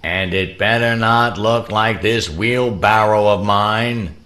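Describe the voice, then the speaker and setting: A man playing a dour, crotchety war veteran in an audio theater comedy, gruff and drawn-out. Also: fairly low pitch, sharp tone. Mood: gleeful, challenging. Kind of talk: audio theater character acting.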